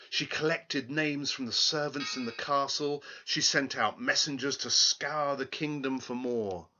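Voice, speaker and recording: A man reads a fairy tale aloud with a bright, forceful tone. His delivery is slow and dramatic, with lively pitch swings. The recording is a little dull and lo-fi. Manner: dramatic, emphatic. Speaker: storyteller. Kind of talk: expressive children's story reading.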